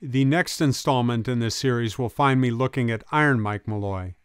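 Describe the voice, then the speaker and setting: A man's voice: medium pitch, normal speed, clean tone, no echo. A man reading scripted documentary narration in an informal, folksy way. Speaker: video essayist, narrator. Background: music bed with guitar.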